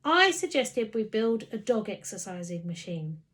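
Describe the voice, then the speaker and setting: A woman's voice: medium pitch, very animated, a little slow. A woman as young storybook girl, direct, insistent, unfazed, matter-of-fact. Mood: bold, insistent.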